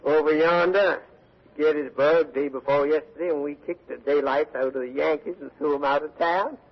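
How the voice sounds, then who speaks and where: An actor playing a boastful, taunting Confederate prisoner of war with a Southern accent, in 1940s radio drama style. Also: male voice, fairly high pitch, steady tone, normal speed. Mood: cocky. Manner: boastful, taunting, drawling folksy talk. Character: brawny, cocky Confederate soldier prisoner from Mississippi. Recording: muffled old 1940s radio recording.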